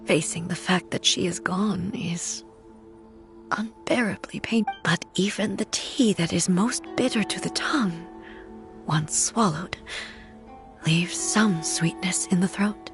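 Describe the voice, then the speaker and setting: A woman voicing a solemn, grieving divine ruler in a game, speaking softly and measuredly, often close to a whisper. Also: lowish voice, unhurried, over music. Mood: sorrowful. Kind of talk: game cutscene voice acting.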